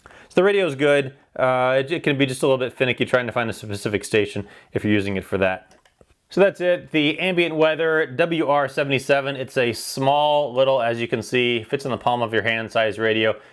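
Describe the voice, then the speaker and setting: A man's voice: mid-range voice, fairly quick. Guy doing a casual gadget review, plain-spoken, just explaining how stuff works as he handles it. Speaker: product reviewer. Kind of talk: casual hands-on product demonstration.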